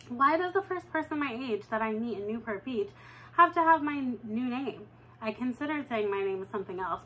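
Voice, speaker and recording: A young woman reading a children's novel aloud at home, with lively jumps in pitch when she reads dialogue. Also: husky, poor audio. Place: living room at home, indoors.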